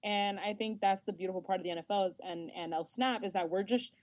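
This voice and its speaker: A young woman of Salvadoran family who grew up speaking Spanish first, telling stories in an animated, chatty way with many fillers, as the guest in an interview. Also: medium pitch, quick pace, dry recording, highs cut off. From United States, Salvadoran family. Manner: animated storyteller, lots of fillers and laughs. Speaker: guest, sports journalist and host. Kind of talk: casual interview talk.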